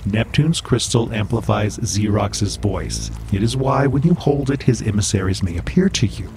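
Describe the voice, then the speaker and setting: A man's voice: on the low side, normal speed, background noise, lightly acted. An actor playing a stern, menacing male cult handler who speaks in a commanding way.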